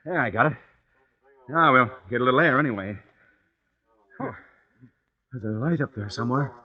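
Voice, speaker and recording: An actor playing a gruff, bossy but reassuring trapped man in an old-time radio thriller. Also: mid-range voice, lively intonation, moderate pace, natural voice. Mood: bossy, irritated. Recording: muffled vintage radio recording.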